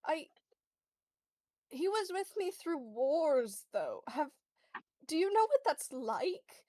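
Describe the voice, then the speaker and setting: Woman playing a high-voiced, fragile grieving young man. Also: sing-song, mellow, dry recording, heavily acted. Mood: tearful, hesitant. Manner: halting, trailing off, repeating words. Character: grieving young man, earnest, fragile, holding back tears.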